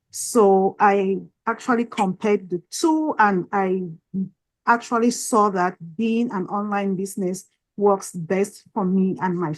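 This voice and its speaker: A woman from Cameroon co-hosting an online workshop and introducing herself. She greets warmly and pauses on fillers now and then. Her voice is at a middle pitch. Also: no echo, poor audio. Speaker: workshop co-host.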